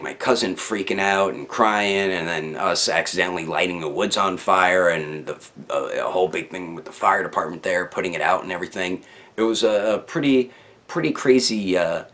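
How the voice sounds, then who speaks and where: A man with a low voice hosts a casual storytime for his online viewers. He talks in loose, slangy sentences without a script, rambling and doubling back on words as he goes. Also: a bit bright. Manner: rambling, slangy, with stammered repeats. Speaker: YouTube storyteller, channel host.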